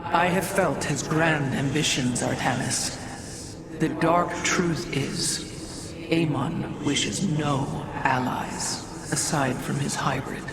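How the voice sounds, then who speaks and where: A woman playing a haunted, dutiful alien priestess seer in a game cutscene, speaking gravely and formally, with portentous weight. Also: low-pitched, slow, low-quality recording. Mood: ominous. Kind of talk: dramatic game cutscene acting. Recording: loud music behind the voice.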